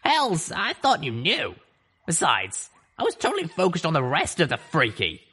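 A man's voice: a little high, wildly swooping pitch, moderate pace, pushed and bright, husky, pitched above the performer's own voice, heavily acted. A man voicing a tough, wry female griffin who smokes and talks in folksy slang.

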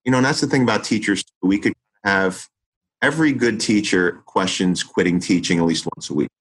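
Man, forties, very low voice; an earnest teacher thinking aloud in conversation, full of restarts. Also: a little fast, fairly bright tone, breathy edge, poor audio. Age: 40s. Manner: earnest, reflective, many restarts and fillers. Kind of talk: interview conversation.

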